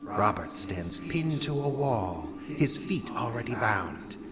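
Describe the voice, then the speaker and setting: An actor playing a brooding horror host, narrating an audio drama in a wry, ominous, theatrical manner. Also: male voice, mid-range voice, very animated, takes it slowly, loud music behind the voice. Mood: grim suspense.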